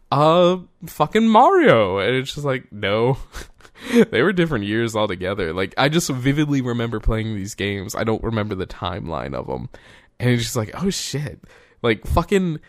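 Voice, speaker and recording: A man's voice: medium pitch, dry recording. Guy in his 20s just rambling on his podcast, cusses a lot, laughs at himself, dry close sound. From United States. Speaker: podcast host. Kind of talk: casual solo podcast monologue.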